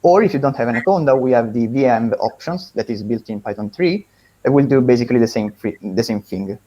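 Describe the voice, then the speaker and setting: A man answers technical questions in a halting, spontaneous way. His voice is mid-pitched and relaxed, and the call audio sounds dull and lo-fi.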